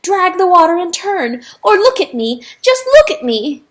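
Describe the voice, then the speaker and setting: A woman's voice: very high voice, sing-song, normal speed, pitched above the performer's own voice. A woman voicing a proud, desperate male rooster who screams and pleads. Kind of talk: audiobook character voice.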